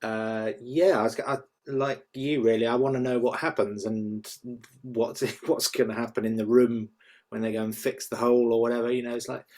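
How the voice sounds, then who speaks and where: A man's voice: medium pitch, level tone, normal speed, a little mellow. Hesitant man giving informal feedback. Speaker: reader giving script feedback. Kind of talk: casual spoken feedback.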